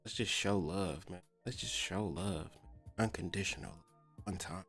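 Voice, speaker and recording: A man's voice: low voice, measured pace, fairly bright tone, faint music behind. A man hosting a podcast, mixing casual slangy commentary with reading aloud and repeating phrases for emphasis. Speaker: podcast host.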